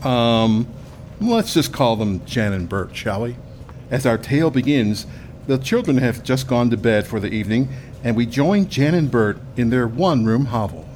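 A man's voice: mid-range voice, a little mellow, slight background noise. A man narrating a comic fairy tale in an offhand, wry way. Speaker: story narrator. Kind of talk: comic storytelling.

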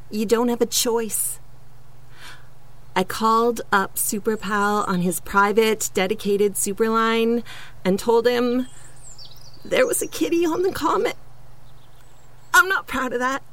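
A slightly high voice as a superhero's scheming girlfriend. She confides in a deadpan, self-justifying monologue for a comic audio play. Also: takes it slowly, pauses often. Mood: unrepentant confession. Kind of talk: confessional monologue in comedic audio drama.

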